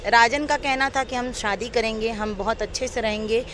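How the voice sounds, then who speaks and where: High-voiced woman earnestly recounting her story. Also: steady tone, normal speed, a bit bright, a little hiss or hum. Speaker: interviewee telling her own account. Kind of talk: unscripted personal account in an interview.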